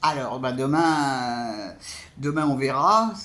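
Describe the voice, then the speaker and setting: A man's voice: mid-range voice, slow, sharp tone. A man giving a casual spoken film review as a vlogger, hesitant and often repeating words.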